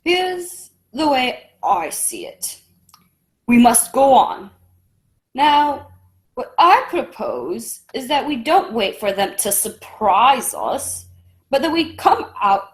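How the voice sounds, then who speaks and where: A dour captain of a sailing ship in a radio drama, played by a woman. The voice sits a little high and moves slowly, in curt, plain-spoken phrases full of disapproval. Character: blunt, dour, disapproving male ship's captain. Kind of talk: radio play acting.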